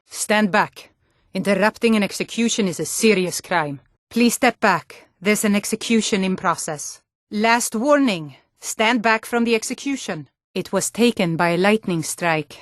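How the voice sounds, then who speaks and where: An actress playing a sturdy, earnest Nord woman in a fantasy video game, with clear, deliberate delivery. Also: mid-range voice, no echo, clean studio sound, natural voice. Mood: stern.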